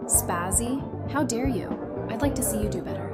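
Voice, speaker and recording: A woman's voice: on the high side, normal speed, natural voice. A woman playing a playful, confident young woman with a wry, teasing manner in an audio drama. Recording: music and party chatter behind. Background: music and crowd chatter.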